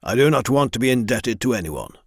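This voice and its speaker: A man voicing a stern, powerful lord who speaks in a measured, formal way. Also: mid-range voice, very animated, creaky, husky, dry recording, studio quality, exaggerated voice.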